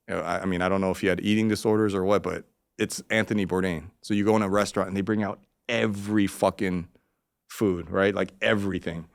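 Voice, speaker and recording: Low-voiced man telling stories, rambling. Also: fairly even intonation, quick pace, vocal fry. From Los Angeles, Koreatown. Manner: profane, rambling, with frequent restarts; acts out quoted voices. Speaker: podcast guest. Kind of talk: casual storytelling.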